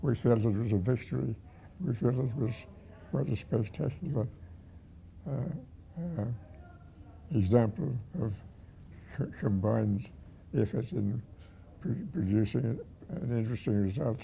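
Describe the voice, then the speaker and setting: A man of about a hundred with a husky, aged voice, reminiscing haltingly as a retired museum director. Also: medium pitch, warm tone, a little hiss or hum. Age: 100. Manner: halting, with repeated words and pauses.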